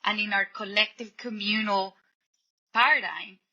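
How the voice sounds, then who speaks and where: A woman from Colombia, a podcast guest, calmly explaining her ideas in a reflective conversational way. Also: medium pitch, unhurried. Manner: thoughtful, explanatory, quotes people in little voices. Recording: dull lo-fi podcast audio.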